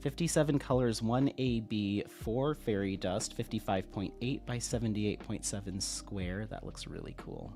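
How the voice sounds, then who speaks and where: A man hosting a casual craft livestream, slightly sniffly, reading viewer comments aloud in a relaxed, friendly way at a desk microphone. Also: on the low side, normal speed, hardly any pauses, soft background music. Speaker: livestream host. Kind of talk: casual live-stream chat, reading comments. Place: home craft room, at a desk.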